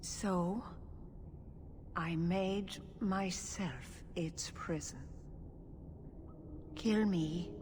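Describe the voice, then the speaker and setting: An actress playing a solemn older elf woman, a clan leader with a measured, resolute manner, in a video game. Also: fairly low pitch. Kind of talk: video game dramatic acting. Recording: clear background noise, ambience.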